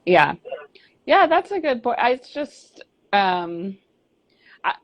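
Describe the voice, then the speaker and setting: A woman's voice: a little high, sing-song, takes it slowly. A woman musician chatting candidly as an interview guest, rambling with fillers and restarts. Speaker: band singer and bassist, guest. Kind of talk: casual interview chat. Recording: lo-fi dull audio.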